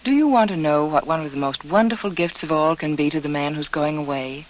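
A woman's voice: low-pitched, a little fast, slight background noise, poor audio. A woman hostess reading a scripted 1940s style radio advertisement, addressing the listener directly and persuasively.

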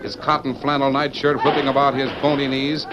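A man's voice: medium pitch, flat delivery, a little fast, dull audio. A man narrating a radio adventure in a dramatic, declamatory 1940s style. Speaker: announcer and narrator. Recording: hissy vintage radio recording.

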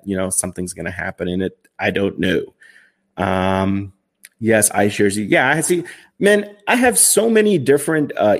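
Chatty man in his thirties hosting. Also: on the low side. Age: 30s. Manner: chatty and upbeat, many fillers and restarts. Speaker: finance livestream host. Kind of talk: casual live-stream Q&A.

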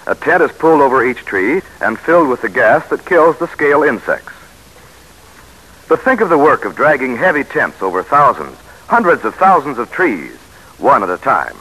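A man's voice: medium pitch, sharp tone, breathy edge, poor audio. An American man announcing in a polished, emphatic 1940s radio style, on an old broadcast recording. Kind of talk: 1940s radio announcing, scripted sponsor narration.

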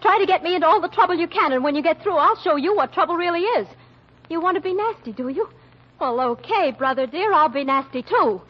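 An actress playing a spiteful, hot-tempered grown daughter in a sharp, theatrical old radio style. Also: female voice, very high-pitched, lively intonation, fairly quick. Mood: spiteful, indignant. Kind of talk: 1940s radio acting. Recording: dull lo-fi old radio recording.

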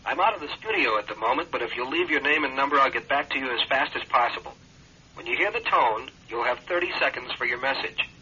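A man's voice: mid-range voice, expressive, fast talker, sharp tone, breathy edge, full character voice. An actor playing a young male photographer in a radio drama, heard through a telephone. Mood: businesslike. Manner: nervous, stammering. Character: spooked, busy young male photographer seeking help. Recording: dull, harsh telephone line sound.

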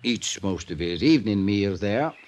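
An actor playing a loyal small-town constable in an old radio drama, laconic and drawling with a rural American accent. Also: male voice, on the low side, lively intonation, normal speed, darkish tone, slight background noise, lightly acted. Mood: matter-of-fact, laconic.

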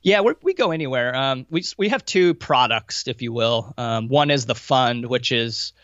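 A man's voice: medium pitch, animated, moderate pace, fairly bright tone, dry recording, muffled recording. An American man answering interview questions in a casual, informal, anecdotal way, with some self-deprecation. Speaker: podcast guest, value investor running a fund.

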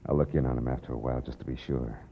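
Hard-boiled detective, deep, dry and brooding, 1940s radio noir, dull old sound, faint music. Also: male voice, steady tone, rapid-fire, vocal fry, a little hiss or hum. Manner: dry, brooding, tough-talking. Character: hard-boiled male private eye, world-weary and calm.

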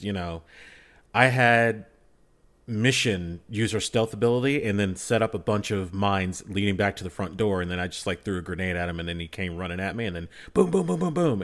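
A man co-hosting a podcast, chatting casually in a joking, teasing way. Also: fairly low pitch. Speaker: podcast co-host. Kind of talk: casual banter.

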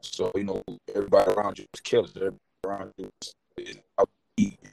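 Low, flat-voiced young man. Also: rapid-fire, vocal fry, soft background music, poor audio. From Detroit, Michigan. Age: young adult. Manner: street slang, keeps saying "you know what I mean". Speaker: guest, boxer and entrepreneur. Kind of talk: casual interview talk.

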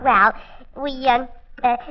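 A woman's voice: high voice, steady tone, takes it slowly, highs cut off, some chatter behind. An actress playing a naive, man-hungry young woman, comically plaintive, in 1940s radio comedy style.

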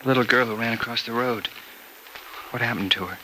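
A man playing a weak, anxious, devoted young hospital patient in a classic radio soap opera, speaking haltingly. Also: medium pitch, bright, forceful tone, natural voice, rough recording. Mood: weak, concerned. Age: young adult. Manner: halting, repeating words anxiously. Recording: old radio recording with faint noise.

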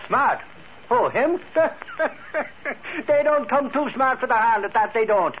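A dull, noisy vintage radio recording of an excitable newspaperman. The voice sits extremely high for a man and is cocky and blustering. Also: a little slow. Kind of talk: 1940s radio crime drama acting.

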